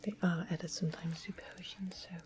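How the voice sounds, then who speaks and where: A sweet, genteel witch, played by a woman, whispers low and breathy in a fantasy ASMR roleplay. She explains things without hurry, in a soft and polite way. Clear ambient noise sits behind her whisper. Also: flat delivery, a little fast, lightly acted. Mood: calm, explanatory. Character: witch of the west, sweetly genteel potion seller.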